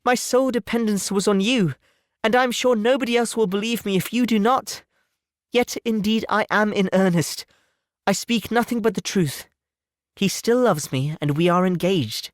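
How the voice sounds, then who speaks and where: A man voicing a spirited, self-assured young gentlewoman in an audiobook, wry, earnest and articulate. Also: high voice, moderate pace, no room sound, studio quality, pitched above the performer's own voice, natural voice. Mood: earnest, exasperated. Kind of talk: audiobook character voice.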